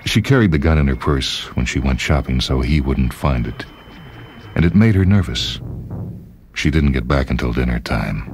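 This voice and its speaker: A man reading literary fiction aloud as scripted narration, in a measured, dramatic way. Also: very deep voice, a little mellow, a little hiss or hum. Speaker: story reader.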